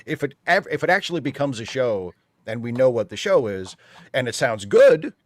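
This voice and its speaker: A man's voice: mid-range voice, very animated, moderate pace, no room sound. Wry, skeptical man, dry close sound. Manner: wry, skeptical, qualifies his points. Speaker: panel guest commentator. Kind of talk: casual opinionated discussion.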